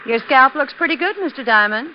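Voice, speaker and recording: A woman's voice: sits high, animated, a little fast, background noise. A woman playing a polite, composed young hair-treatment attendant in an old radio crime drama. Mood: polite, guarded. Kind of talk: 1940s-50s radio drama acting. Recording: dull old radio recording.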